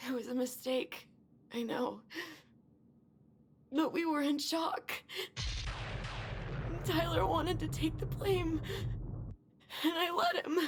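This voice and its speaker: Young woman weighed down by guilt, slow halting delivery, earnest game drama, some background noise. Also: sits high. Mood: remorseful. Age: young adult. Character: guilt-ridden young woman confessing to her uncle. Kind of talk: naturalistic video game drama acting.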